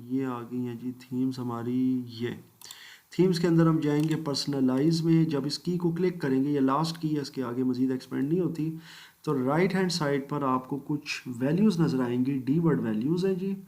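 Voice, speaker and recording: Man, mid-pitched, patient step-by-step software tutorial narration. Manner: methodical step-by-step explaining, polite "ji" and "janab" asides; mixes English phrases into speech. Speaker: computer course instructor. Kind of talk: screen-recorded software tutorial.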